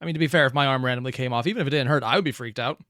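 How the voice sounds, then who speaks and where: A man's voice: medium pitch, rapid-fire, fairly bright tone, a little hiss or hum. A man giving casual, analytical reaction commentary, musing aloud as he thinks through what he watches. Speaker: reaction video host.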